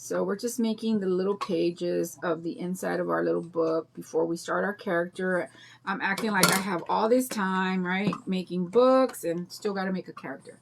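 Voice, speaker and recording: An older woman with a raspy voice and a drawl, chatting in a rambling way and greeting viewers while she demonstrates a craft on a livestream. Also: on the low side, normal speed, noisy background. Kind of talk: casual chat during a craft demo.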